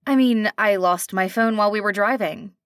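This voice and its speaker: A woman's voice: medium pitch, normal speed, clean tone, no echo, studio quality, natural voice. A woman doing an exhausted young mother. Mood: weary. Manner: hesitant and weary.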